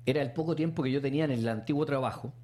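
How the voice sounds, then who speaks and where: A middle-aged man with a Chilean accent, a radio host chatting casually and telling a personal story. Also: rapid-fire. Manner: storytelling, acts out quotes with animated pitch jumps.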